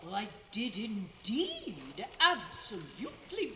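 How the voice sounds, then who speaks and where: A gushing, easily flustered society lady played by a woman in a radio play. She speaks slowly and theatrically in a highish, extravagantly swooping voice. The hall is echoey, with an audience audible. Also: wildly swooping pitch, background noise, exaggerated voice. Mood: fascinated. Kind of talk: old-time radio drama acting.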